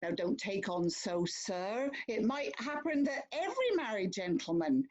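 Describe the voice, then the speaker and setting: A woman with an English accent playing a brisk, consoling male court clerk in a theatrical farce, deferential and chivvying. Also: medium pitch, big pitch swings, a little mellow, muffled recording.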